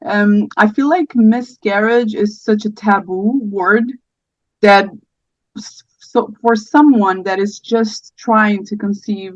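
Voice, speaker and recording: Casting: Portuguese-accented woman, late thirties, halting and gentle, telling her story; dry, dull lo-fi sound. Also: mid-range voice, fairly even intonation, no echo. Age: 30s. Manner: soft-spoken, hesitant, many fillers. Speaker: podcast guest. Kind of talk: personal story in conversation.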